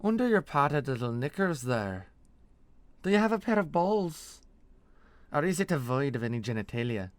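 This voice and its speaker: A man voicing a ruthless, sharp-tongued mercenary woman who mocks and menaces, with a put-on accent, in a fantasy story. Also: fairly high pitch, sing-song, moderate pace, no room sound, voice pushed up. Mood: mocking, scornful. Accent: put-on foreign fantasy accent.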